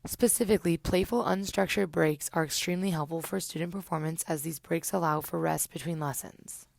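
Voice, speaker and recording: A woman narrating a scripted student report in a measured, informative way. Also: low-pitched, gravelly, no room sound.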